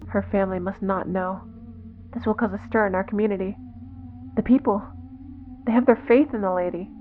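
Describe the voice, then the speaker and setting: A woman's voice: mid-range voice, fairly even intonation, a little fast, phone-quality sound, slight background noise, natural voice. A woman voicing a devout female cult leader in a horror web series, instructing in stilted, formal, solemn phrases. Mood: firm, insistent.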